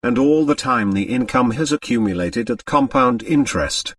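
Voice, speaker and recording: A big, breezy middle-aged doctor from a storybook, as a man acts him out. He fumes and blusters with emphatic energy. His pitch moves in lively swings, in a dry but slightly distant recording. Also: normal speed, no room sound. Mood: astonished.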